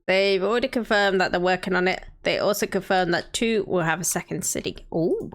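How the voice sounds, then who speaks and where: A woman's voice: on the low side, a little fast. A woman in her thirties, a streamer chatting casually and thinking aloud while greeting her viewers by name. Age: 30s. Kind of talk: casual live-stream chat while playing a city-building game.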